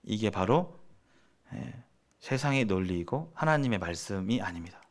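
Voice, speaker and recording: A man's voice: on the low side, animated, moderate pace. A man giving a sermon-like seminar lecture as a preacher, speaking emphatically and repeating phrases for stress. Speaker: preacher leading a church seminar.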